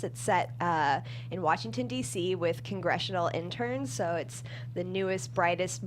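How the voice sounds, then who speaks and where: A woman's voice: fairly low pitch, slight background noise. A woman speaking casually as a guest screenwriter in an interview.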